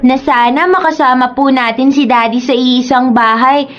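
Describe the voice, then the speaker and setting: A very high, childlike voice from a woman actor plays a sweet little boy. He is eager and polite, pleading lovingly in radio drama style, with music underneath. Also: moderate pace, muffled recording, lightly acted. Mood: wistful. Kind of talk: Tagalog radio drama acting.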